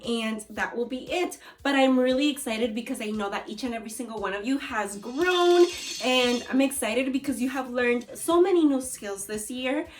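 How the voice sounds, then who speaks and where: A woman with an American accent teaching a read-aloud lesson to young children, expressive and speaking straight to her pupils. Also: a little high. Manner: animated, expressive, addressing pupils directly. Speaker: elementary school teacher.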